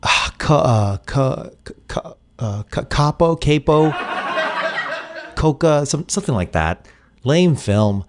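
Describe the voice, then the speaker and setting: A man with a mid-pitched voice speaks offhand in a comedy podcast skit. The recording is clearly noisy, with clicks. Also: animated, slow pace.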